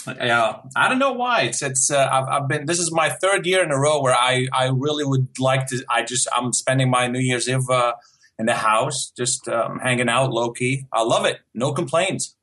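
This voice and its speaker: A man's voice: medium pitch, normal speed, bright, forceful tone. A man from Syria whose first language is Arabic, a guest in a casual interview who is modest, laughs often and restarts his sentences. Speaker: guest animator.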